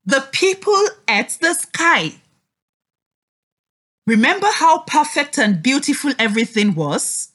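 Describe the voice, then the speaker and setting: Woman teacher, children's read-aloud, highish voice, lively swooping intonation, encouraging, deliberate. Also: slightly rough. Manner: expressive, encouraging, deliberate enunciation.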